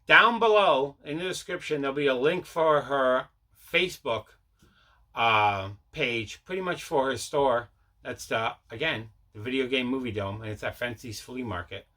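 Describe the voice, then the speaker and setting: A man chats casually as the host of a collection video. His voice sits at a middle pitch with a bright, forceful edge, and he tends to repeat himself as he shares his enthusiasm. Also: normal speed. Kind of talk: casual mail-day haul chat.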